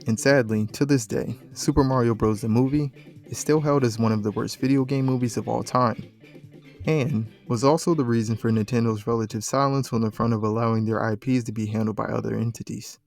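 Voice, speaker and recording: A man's voice: medium pitch, fairly even intonation, a little fast, darkish tone. A man reading scripted video essay narration in the manner of a documentary. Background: background music.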